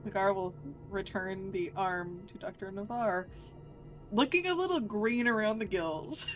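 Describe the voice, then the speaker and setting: A woman playing a tabletop role-playing game, speaking casually and haltingly. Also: mid-range voice, soft background music, poor audio. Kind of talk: casual improvised role-play.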